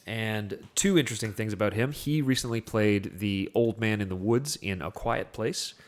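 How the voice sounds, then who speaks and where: Halting, lowish-voiced man discussing movies. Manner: halting, repeats words as he searches. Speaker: film podcast co-host. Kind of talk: casual film discussion.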